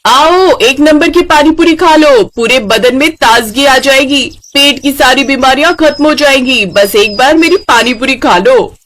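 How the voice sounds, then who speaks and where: A woman's voice: high-pitched, a little slow, few pauses, pitched above the performer's own voice. A woman voicing a feisty, hardworking young village woman who sells street snacks in a children's cartoon story, with a scrappy way of talking. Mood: loud hawking. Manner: loud hawking and scrappy scolding.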